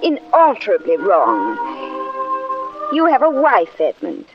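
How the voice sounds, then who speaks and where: An actress playing a soft-spoken, troubled young lady in a 1940s radio drama, speaking in a hesitant, tender way. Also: mid-range voice, huge pitch swings, slow pace, heavily acted. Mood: tender, anguished. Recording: dull 1940s radio recording, music.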